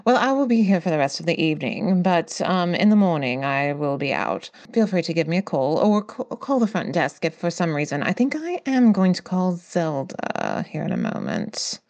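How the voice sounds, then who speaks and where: A woman playing a polite, composed, considerate lady in a tabletop audio drama, with a courteous, measured manner. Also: on the low side, very animated, a little mellow. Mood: polite concern.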